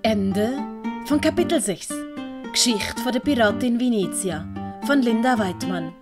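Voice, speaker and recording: Female narrator, Swiss German accent, higher voice, children's audio story, clear music behind. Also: unhurried. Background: dramatic music and sound effects.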